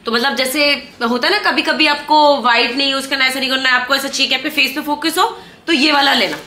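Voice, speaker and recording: A young woman with a bright, somewhat high voice explains things helpfully and casually. Her voice echoes around a hard-walled room. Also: fairly even intonation, fast talker.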